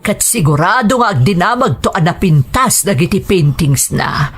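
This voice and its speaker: A woman acting a supportive, expressive Ilocano mother. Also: fairly low pitch, moderate pace, slightly rough, soft background music. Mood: proud. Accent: Ilocano Filipino. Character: supportive, reassuring mother of a grown son. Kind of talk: radio drama acting.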